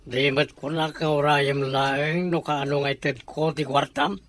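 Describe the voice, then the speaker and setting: A man's voice: a little high, level tone, unhurried, fairly bright tone. A man playing a menacing, hot-tempered boss in an audio drama, curt and threatening.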